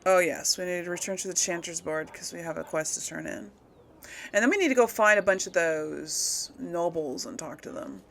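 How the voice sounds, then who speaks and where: A woman's voice: fairly low pitch, normal speed, gravelly, fairly bright tone, a little hiss or hum. A woman hosting a casual let's play, musing and thinking aloud as she goes. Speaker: gaming video host. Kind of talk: casual let's play commentary, some role-played replies.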